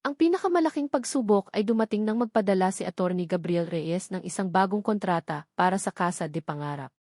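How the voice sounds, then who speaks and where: A woman reading a scripted romance story as narrator, in a measured storytelling delivery. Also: mid-range voice, darkish tone, no room sound, studio quality.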